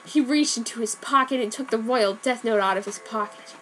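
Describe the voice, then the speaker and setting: A woman's voice: on the high side, fairly quick, fairly bright tone, slight background noise. A woman giving a comic dramatic reading of fan fiction, with wry offhand asides. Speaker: reader. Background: faint background music.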